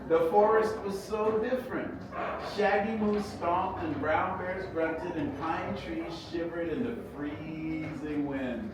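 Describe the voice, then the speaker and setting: Man, higher-pitched voice, unhurried theatrical storybook reading, dramatic pauses, very echoey room. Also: steady tone. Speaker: author reading own book. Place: echoey indoor event space. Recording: echoey room, distant mic, noisy background.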